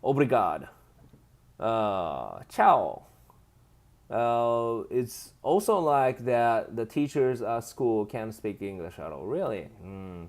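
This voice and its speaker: Lively Japanese-accented man chatting with viewers. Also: medium pitch, slow. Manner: lively, laughs often, reads chat comments aloud. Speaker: live stream host. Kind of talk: casual live stream chat reading viewer comments.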